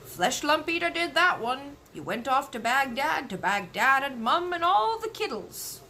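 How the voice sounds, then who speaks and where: A woman voicing a big friendly storybook giant, eager and slow, with quaint muddled grammar. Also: high-pitched, sing-song, bright, forceful tone, a little hiss or hum, pitched above the performer's own voice, heavily acted. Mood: excited. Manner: slow, drawn-out delivery with quaint broken grammar.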